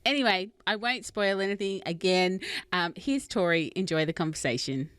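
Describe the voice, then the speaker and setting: A woman's voice: lowish voice, normal speed, a bit bright, clear, resonant tone, no room sound, studio quality. An Australian woman hosting a podcast, chatting enthusiastically with plenty of fillers. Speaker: podcast host. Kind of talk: casual interview chat. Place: rural home.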